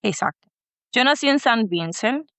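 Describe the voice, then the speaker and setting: Gravelly, smooth-voiced woman from the Dominican Republic. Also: fairly high pitch, lively intonation, a bit bright, dry recording. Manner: thoughtful, pauses to search for words. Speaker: guest. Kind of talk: conversational interview.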